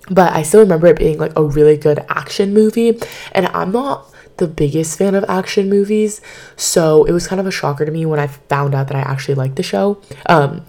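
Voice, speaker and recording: Female podcast host, low mellow voice, slightly hoarse; rambling casual chat into a home mic from her bed. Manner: chatty and rambling, many filler words. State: slightly hoarse, partly lost voice. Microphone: podcast microphone.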